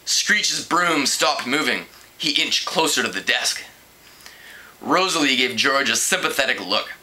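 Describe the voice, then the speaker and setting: A man's voice: mid-range voice, lively intonation, measured pace, pushed and bright, rough recording. A man narrating a story as an amateur reader, reading aloud from a book.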